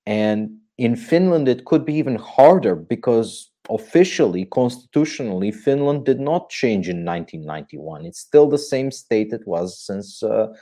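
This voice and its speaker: A man's voice: mid-range voice, lively intonation, normal speed, hardly any pauses, warm tone. A man hosting a political interview, speaking in a thoughtful, wordy way that often corrects itself mid-sentence. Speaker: podcast host.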